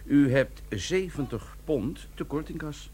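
An actor playing a deferential, hesitant young soldier in a comic radio play. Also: male voice, a little high, animated, slow, a little mellow, breathy edge, exaggerated voice. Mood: meek, uneasy. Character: deferential young soldier reporting to his sergeant.

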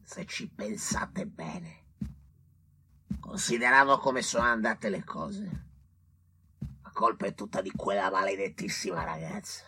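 An actor playing a vain, cowardly, drunken young doctor who grumbles and curses in an agitated radio drama. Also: male voice, fairly low pitch, lively intonation, a little slow, long pauses, full character voice. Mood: bitter, resentful. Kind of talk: theatrical radio drama monologue in Italian. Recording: loud background noise.

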